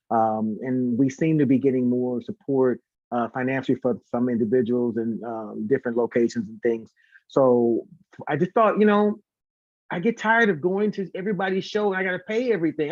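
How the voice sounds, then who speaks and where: A man's voice: mid-range voice, a little mellow, dry recording, lo-fi sound. A man chatting casually and informally as an interview guest, talkative and prone to repeating words for emphasis. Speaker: guest, singer and concert organizer.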